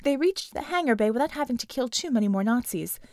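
A woman's voice: on the high side, animated, quick pace, darkish tone, no room sound, studio quality. A woman reading dramatic action fiction as an audiobook narrator, in a scripted storytelling style.

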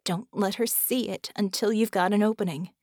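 A woman's voice: medium pitch, fairly even intonation, moderate pace, darkish tone, no room sound, studio quality, natural voice. A woman voicing a tough, self-assured woman fighter who is injured and talks firmly through clenched teeth. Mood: strained urgency.

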